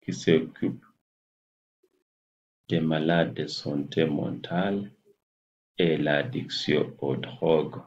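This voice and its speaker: A man in his forties with a Kenyan English accent, a native English speaker, speaking slowly and haltingly to a webcam in a small room as he searches for words. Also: very deep voice, steady tone, a little mellow. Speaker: health channel host. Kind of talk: casual address to camera. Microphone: laptop webcam at desk distance. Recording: muffled lo-fi webcam audio.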